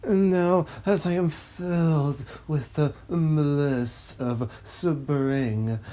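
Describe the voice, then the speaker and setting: Slow, low-pitched pompous female narrator. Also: warm tone. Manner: snobby, pompous, droning. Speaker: narrator, host of a dramatic reading series. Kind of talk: scripted mock-solemn narration and script reading.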